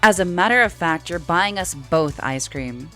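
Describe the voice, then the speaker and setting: A woman playing a blunt, bossy, brown-furred female alien in audio fiction, with a flat, brusque delivery. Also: on the low side, moderate pace, soft background music. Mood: playfully bossy. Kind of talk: audio fiction character acting.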